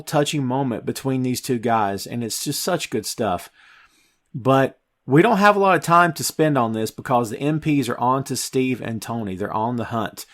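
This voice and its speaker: A man with a mid-range voice hosts a movie review podcast. He speaks emphatically and excitably, stressing his points and addressing his listeners directly. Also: mid-range voice. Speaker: podcast host. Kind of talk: film review podcast talk.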